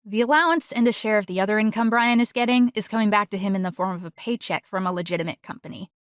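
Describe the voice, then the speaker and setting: Lady doing a smug clever teen girl, teasing, mid pitch, dry clean room sound, audiobook drama. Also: fast, dry recording, close to an everyday voice. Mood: wry, knowing. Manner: wry, teasing, wordy. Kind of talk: full-cast audiobook character acting.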